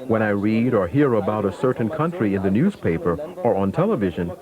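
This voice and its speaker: A man's voice: on the low side, animated, a little fast. A man delivering an English voice-over translation, with thin, narrow-sounding audio. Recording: thin phone-like sound, crowd behind.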